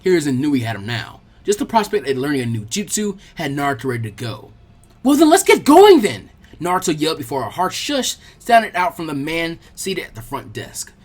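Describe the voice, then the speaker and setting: Animated male story narrator. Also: a little high, a little fast, hardly any pauses. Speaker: audiobook narrator. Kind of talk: scripted narration of fan fiction.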